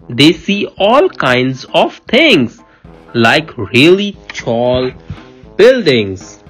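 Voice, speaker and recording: Woman doing storytime for a kid, kinda slow and low, really animated, faint music behind. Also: poor audio. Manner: expressive, playful, teacherly, repeats answers and prompts. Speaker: parent reading a picture book to a child. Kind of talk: animated read-aloud storytelling with questions to a child.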